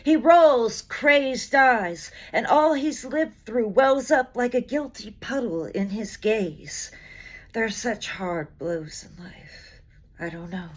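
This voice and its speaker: A woman reciting poetry dramatically, with an expressive, measured delivery and deliberate pauses between phrases. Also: a little high. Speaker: poetry reader.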